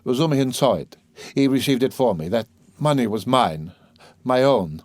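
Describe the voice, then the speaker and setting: A man reads as a young male killer tormented by guilt, in a storybook drama. He is bitter and self-loathing, speaking in agitated, halting bursts in a close, dry recording. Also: mid-range voice, lively intonation, darkish tone, dry recording, voice pushed up, natural voice. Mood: weary, vexed. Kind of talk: dramatic audiobook character reading.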